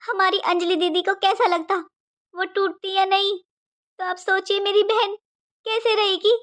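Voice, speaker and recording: A woman's voice: extremely high pitch, flat delivery, a little slow, fairly bright tone. A woman voicing an indignant young daughter-in-law in a cartoon melodrama, accusing and dramatic, full of rhetorical questions. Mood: anguished, reproachful. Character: indignant young daughter-in-law defending her jilted sister. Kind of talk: Hindi cartoon family melodrama voice acting.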